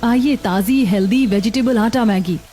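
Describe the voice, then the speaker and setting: A woman's voice: a little high, normal speed, warm tone, lightly acted. A woman playing a hardworking, hopeful young daughter-in-law who runs a noodle stall in a cartoon. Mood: welcoming. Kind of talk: scripted cartoon dialogue.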